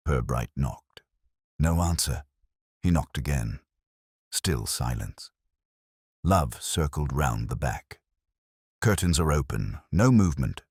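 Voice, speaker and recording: Very deep male mystery narrator. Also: husky, no room sound, studio quality. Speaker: audiobook narrator. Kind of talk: scripted mystery story narration.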